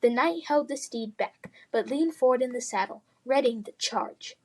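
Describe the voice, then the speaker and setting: Higher-voiced woman reading a fantasy story. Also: normal speed. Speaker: storybook reader. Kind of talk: expressive scripted narration of children's fantasy fiction.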